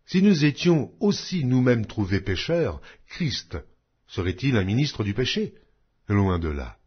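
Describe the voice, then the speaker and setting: A man reading scripture aloud in a measured, emphatic way, with strong rises and falls. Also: fairly low pitch, breathy edge, highs cut off. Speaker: Bible reader.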